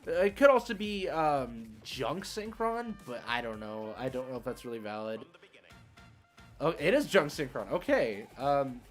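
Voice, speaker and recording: Casting: male livestream gamer, mid-pitched, casual and unhurried in attitude, musing through his moves, light music underneath. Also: big pitch swings. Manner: thinking aloud, easygoing and hesitant. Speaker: livestream host and card game player. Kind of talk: casual live game stream commentary.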